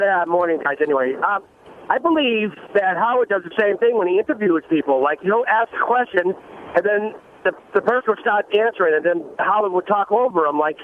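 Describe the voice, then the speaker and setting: A man calling into a radio show over the telephone, giving his opinion informally. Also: sits high. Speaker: radio phone-in caller. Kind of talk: casual opinion. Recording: tinny phone line with faint noise.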